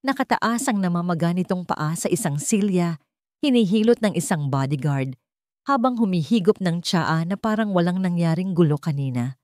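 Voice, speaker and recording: A woman's voice: fairly low pitch, animated, normal speed, a little mellow, dry recording, clean studio sound. A woman narrating a scripted story as a dramatic storyteller.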